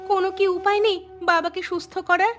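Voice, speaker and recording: A woman voicing a devoted, gentle young village daughter-in-law in a cartoon, speaking earnestly and respectfully. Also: very high-pitched, little pitch movement, moderate pace, faint music behind. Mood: pleading. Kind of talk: Bengali moral-tale cartoon dubbing.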